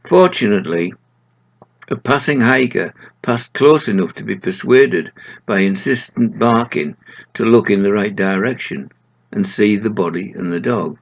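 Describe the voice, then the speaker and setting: Gravelly older man reading stories. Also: lowish voice, animated, measured pace. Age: 70s. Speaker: author reading his own short stories aloud. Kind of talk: storytelling, reading aloud. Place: small room at home, indoors.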